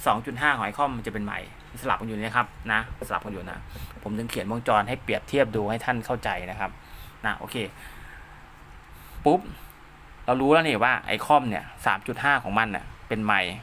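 Male DIY tutorial host: bright mid-pitched voice, informal and repetitive, throws in spoken sound effects, slightly noisy recording. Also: brisk. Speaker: host of a DIY radio repair channel. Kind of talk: informal hands-on tutorial, talking while drawing diagrams.

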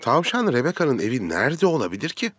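A man narrating a scripted children's cartoon as a storyteller, in simple, clear sentences. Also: mid-range voice, big pitch swings, quick pace, very noisy.

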